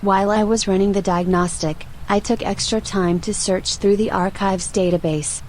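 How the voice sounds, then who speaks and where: A woman voicing a polite, watchful archive AI in an audio roleplay, speaking in a formal, measured, robotic manner. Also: mid-range voice, steady tone, darkish tone, slight background noise, natural voice, poor audio. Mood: composed, probing. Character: female-voiced archive AI, polite, composed, coolly watchful.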